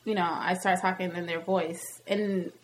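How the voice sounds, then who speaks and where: A woman's voice: lowish voice, steady tone, moderate pace. Frank American Southern woman chatting, noisy background. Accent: American South, blended. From Nashville, Tennessee, US. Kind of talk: casual candid chat.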